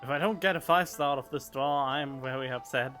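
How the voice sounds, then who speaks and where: A man's voice: mid-range voice, brisk, fairly bright tone. A man giving casual, wry playthrough commentary as a gaming streamer. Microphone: studio microphone. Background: game music.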